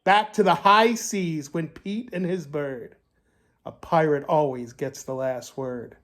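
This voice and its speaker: A man narrating a children's storybook in a theatrical, deliberate way. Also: on the high side, a bit bright. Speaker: storybook reader.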